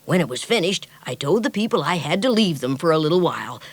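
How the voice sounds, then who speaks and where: A woman reads the part of a jolly, boastful, stout old sea captain in a storybook, booming and hearty with a lower, louder voice. The sound is dry and close. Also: big pitch swings, fast talker, dry recording. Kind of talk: storybook reading.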